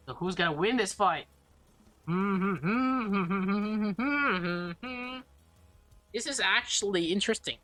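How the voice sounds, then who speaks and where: A woman let's player reads a visual novel aloud at an unhurried pace, tripping on words and repeating them. She drops casual, slangy asides between passages. The sound is close and dry, with faint music playing behind her. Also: mid-range voice, no echo. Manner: stumbles over words while reading, repeats phrases; slangy, excitable reactions.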